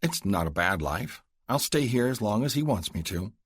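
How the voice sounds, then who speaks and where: A man's voice: fairly low pitch, animated, moderate pace, slightly rough, no room sound, studio quality, pitched above the performer's own voice. A man voicing a cool, charming young upper-class woman with a Philadelphia accent and a poised manner.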